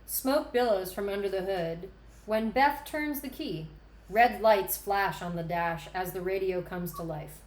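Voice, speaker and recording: A woman's voice: medium pitch, a little slow. A woman reading stage directions as the narrator of a screenplay table read. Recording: echoey room, background noise.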